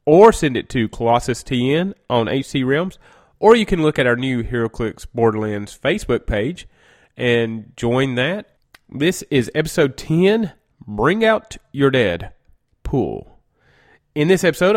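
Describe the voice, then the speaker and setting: Stuffy-nosed man hosting a podcast. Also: medium pitch. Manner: chatty, rambling, frequent self-corrections. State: stuffy nose from a cold. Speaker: podcast host. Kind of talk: casual gaming podcast monologue.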